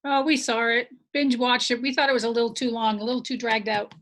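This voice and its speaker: A woman's voice: a little high, little pitch movement, fast talker, fairly bright tone. A middle-aged American woman chatting casually with colleagues in a work meeting on a video call. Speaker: school staff member leading teachers.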